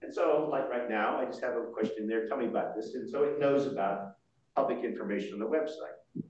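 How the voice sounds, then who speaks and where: An older man presenting informally at a board meeting, explaining things in a halting way. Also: mid-range voice, a little mellow. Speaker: association board member presenting. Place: large indoor meeting room. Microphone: far room microphone. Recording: muffled, very echoey room, distant mic.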